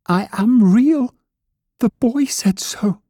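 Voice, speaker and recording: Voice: medium pitch, very animated, normal speed, warm tone, slightly rough, no echo, studio quality, pitched above the performer's own voice. A narrator voicing a small, shy, proud toy rabbit in a children's storybook, earnest and plaintive like a young boy. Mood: tearful, pleading.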